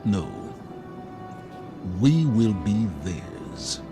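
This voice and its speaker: An actor playing a grave, resolute military leader in a scripted, dramatic video game monologue. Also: male voice, fairly low pitch, very animated, very slow, music in the background, heavily acted. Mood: grave, defiant. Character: resolute, weighty military leader rallying against threats.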